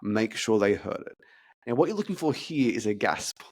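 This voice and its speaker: A man's voice: on the low side, big pitch swings, darkish tone, slightly rough. Stuttering man talking sales. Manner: talks through deliberate stutters and repeated words. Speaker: fitness business consultant. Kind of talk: casual podcast chat, role-playing sales calls.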